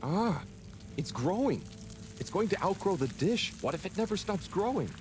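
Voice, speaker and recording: A man's voice: sits high, very animated, normal speed. An actor playing a mild, naive handyman in a video game, speaking with hesitant wonder. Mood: amazed, worried. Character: mild, naive male lab handyman, easily amazed. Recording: music behind the voice.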